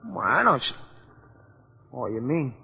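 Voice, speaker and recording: An actor playing a jumpy, slangy sidekick to an investigator in an old-time radio drama, with an excitable folksy manner. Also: male voice, mid-range voice, measured pace, creaky, slight background noise, heavily acted. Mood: puzzled, wary. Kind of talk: 1940s radio drama acting. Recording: muffled old-time radio recording, music.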